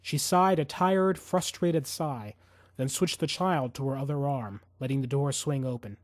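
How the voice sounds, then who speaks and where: A man reading scripted fiction as an audiobook narrator, in an expressive, suspenseful storytelling manner. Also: mid-range voice, measured pace, no room sound.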